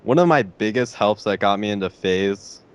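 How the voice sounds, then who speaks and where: Teen boy, deep voice, very low pitch, hesitant casual answers, lots of fillers. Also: animated, normal speed, clean tone, highs cut off. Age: teens. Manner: hesitant, many fillers like "like" and "I don't know". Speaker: interviewee, gamer.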